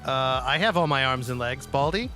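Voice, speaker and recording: A man's voice: mid-range voice, lively intonation, moderate pace, close to an everyday voice. Man playing an anxious, protective caretaker. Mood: weary, coping. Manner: soothing, flustered, repetitive. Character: anxious, coaxing, protective adult male caretaker. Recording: music behind the voice.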